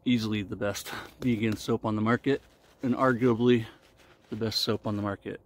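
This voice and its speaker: A man in his forties giving a casual explanatory talk to camera as a vlogger, with deliberate, halting phrasing, recorded outdoors. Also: lowish voice, steady tone. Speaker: vlogger reviewing shaving products. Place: outdoors in a wooded park clearing. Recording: noisy outdoor ambience. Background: outdoor ambient noise.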